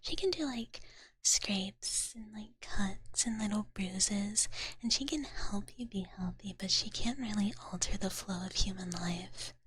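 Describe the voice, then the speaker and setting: A woman speaks in a soft, very breathy whisper, close to the microphone. Her delivery is gentle and cutesy, in the manner of an ASMR streamer chatting casually with viewers. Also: medium pitch, a little slow. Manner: cutesy, gentle, refers to herself in third person. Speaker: livestreamer playing a dragon big-sister persona.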